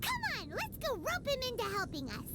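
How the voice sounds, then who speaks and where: A woman's voice: very high voice, huge pitch swings, a little fast, heavy background noise, heavily acted. A woman voicing a tiny, bubbly, cheeky and dramatic childlike fairy companion in an anime-style video game. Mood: scheming, chatty. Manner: speaks of herself in third person, exclamatory. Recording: loud game music behind.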